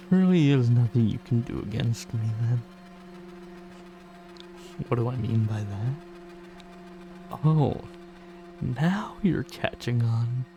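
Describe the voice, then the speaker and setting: A smug male vampire, acted by a man in an ASMR roleplay. He speaks slowly at a medium pitch, condescending and teasing, with menace underneath. Faint music plays behind him. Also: warm tone. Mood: amused, mocking, then smug, taunting. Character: smug, teasing male vampire stalker toying with his hunter.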